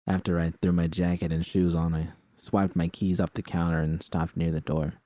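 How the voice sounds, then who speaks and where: A man's voice: low voice, little pitch movement, moderate pace, mellow, dry recording, clean studio sound. A man reading a first-person story aloud as scripted narration. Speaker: narrator reading fan fiction.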